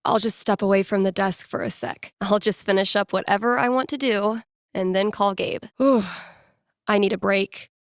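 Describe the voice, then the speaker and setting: A woman's voice: medium pitch, fairly even intonation, moderate pace, no echo, natural voice. A woman voicing a wry, laid-back young DJ in a video game, talking casually to herself with dry sarcasm. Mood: wry, musing. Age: young adult. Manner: dry, sardonic, conversational.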